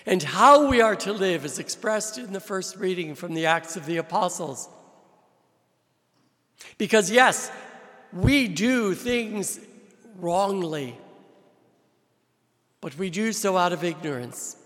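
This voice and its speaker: Slow-preaching priest in a big church. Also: male voice, high-pitched, expressive, fairly bright tone. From New Jersey. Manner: slow, deliberate preaching with emphatic rises. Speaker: visiting priest. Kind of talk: sermon. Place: large church with long reverb. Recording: reverberant church hall.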